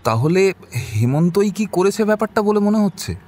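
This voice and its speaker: A man's voice: high voice, sing-song, moderate pace, a little mellow. A man playing a deferential, cautious colleague of a detective in an audio drama, polite and hesitant. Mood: cautious, inquiring.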